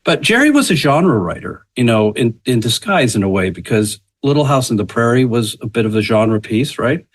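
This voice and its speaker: A man's voice: medium pitch, big pitch swings, normal speed, hardly any pauses. Man reminiscing in emphatic, broken phrases. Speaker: television writer, panel guest. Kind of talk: anecdotal recollection in a podcast conversation.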